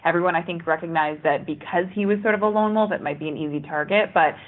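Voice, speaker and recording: A woman's voice: lowish voice, fast. A woman being interviewed as a former reality show contestant, giving spontaneous answers. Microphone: phone call. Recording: phone line, band-limited.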